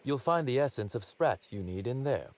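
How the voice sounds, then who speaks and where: An actor playing a wry, polished boss of a secretive outfit in a video game, speaking with formal courtesy. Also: male voice, mid-range voice, animated, normal speed, darkish tone, close to an everyday voice. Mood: pleased, courteous. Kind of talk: conversational video game acting.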